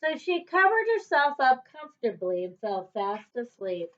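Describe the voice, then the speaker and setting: Woman, storybook read-aloud, animated pitch, deliberate emphasis, slightly muffled lo-fi recording. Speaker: teacher reading aloud. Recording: dull, lo-fi recording.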